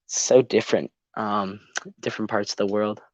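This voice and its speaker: A man's voice: lowish voice, little pitch movement, normal speed, no room sound, rough recording. A teenage boy from Canada, a bit congested from being sick, chatting casually on a live stream and rambling with lots of ums. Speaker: YouTube channel host. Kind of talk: casual live-stream chat while building.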